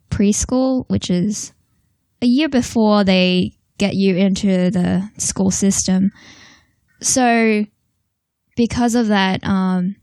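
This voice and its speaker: Woman co-host, thoughtful and quiet, close dry sound. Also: medium pitch, no echo. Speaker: podcast co-host. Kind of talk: casual podcast chat.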